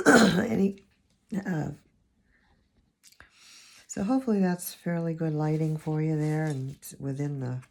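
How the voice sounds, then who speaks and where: A woman with a raspy, allergy-hoarse voice, chatting and rambling as she teaches a watercolor painting lesson, recorded on a phone camera. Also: sits low, takes it slowly, pauses often. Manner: chatty, rambling, thinks aloud while painting. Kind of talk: casual painting tutorial.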